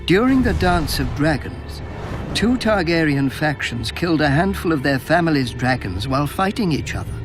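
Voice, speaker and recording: A man narrating fantasy history with measured, dramatic storytelling. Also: a little high, sing-song. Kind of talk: scripted lore narration. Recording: music behind the voice.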